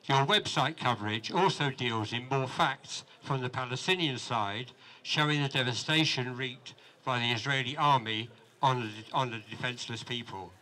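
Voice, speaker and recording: Man in his seventies, mid-pitched, bright forceful tone, reading a statement, outdoor rally, handheld microphone. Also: low-quality recording. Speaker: campaign group officer reading a letter. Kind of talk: prepared statement read aloud at a rally. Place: outdoors in a busy city street. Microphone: handheld microphone held close to the mouth.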